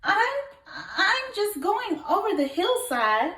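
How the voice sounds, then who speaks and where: A woman's voice: very high-pitched, sing-song, slow pace, pushed and bright, echoey room, pitched above the performer's own voice, heavily acted. A woman voicing a timid, stammering little billy goat in a children's storybook read-aloud. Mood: timid, nervous.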